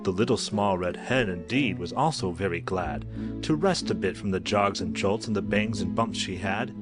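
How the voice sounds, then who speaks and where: A man's voice: sits low, expressive, normal speed. A man narrating a rhyming children's story as a storyteller, with a sing-song, rhythmic delivery. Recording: loud music under the voice. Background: music.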